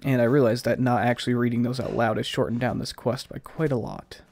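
Guy doing casual game commentary, scratchy and gravelly, bit stuffed up, rambles along. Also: medium pitch, steady tone, fairly quick, darkish tone, no room sound. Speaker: let's play host.

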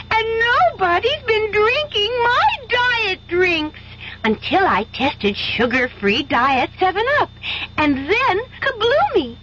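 A woman's voice: high-pitched, a bit bright, full character voice. A woman playing a perky, fussy storybook Goldilocks in a 1970s radio commercial. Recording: dull old radio recording, faint noise.

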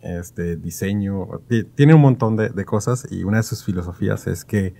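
Podcast host guy, 30s, lower voice, thinks as he talks, kinda halting. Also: gravelly, mellow. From Mexico. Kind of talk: casual podcast conversation. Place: small padded podcast studio. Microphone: studio mic on stand.

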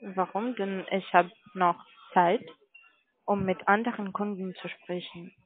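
A woman's voice: medium pitch, fairly even intonation, a little slow. A woman on a sales call, formal, polite but firm. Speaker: call centre sales agent. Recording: narrow phone-line audio.

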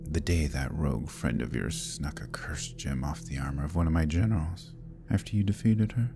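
A man voicing an arrogant, scheming Demon King who taunts and menaces in an ASMR roleplay, often dropping to a husky whisper. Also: very deep voice, normal speed, over music. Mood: smug taunting. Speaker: voice actor.

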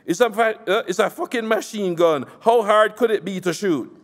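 A man reading aloud as a foul-mouthed, scornful Jamaican gang boss with a rough Jamaican accent. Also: high-pitched, normal speed, voice pushed up. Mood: furious scorn. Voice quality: rough, street slang, swearing. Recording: long hall reverb.

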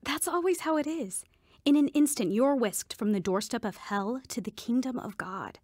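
A woman's voice: on the high side, moderate pace, darkish tone, dry recording, clean studio sound, lightly acted. Reassuring devout woman mentor, played by an actress.

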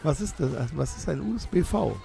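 A man's voice: fairly high pitch, animated, brisk, creaky. A man hosting a quiz in casual banter, teasing and drawing out the story. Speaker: podcast host reading out a quiz question. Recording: music playing behind the voice. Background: background music.

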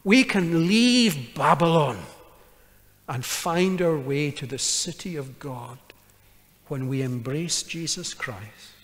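A middle-aged man preaches from a pulpit microphone in a church. He speaks slowly and with deliberate emphasis, his fairly high voice carrying a long reverb. He builds his points through forceful repetition. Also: expressive. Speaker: pastor. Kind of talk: sermon. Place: church sanctuary, standing at a pulpit.

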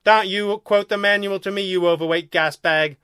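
A man's voice: sits high, very animated, brisk, a bit bright, dry recording, studio quality, voice pushed up. A man voicing a sulky, petulant male alien underling who protests and pouts in a story reading. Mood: indignant. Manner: protesting, pouting, emphatic.

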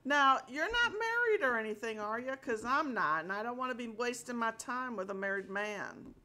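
An older woman chatting casually on a call from a small home office, friendly and quick to laugh. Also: on the high side, expressive, sharp tone. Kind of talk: casual phone chat.

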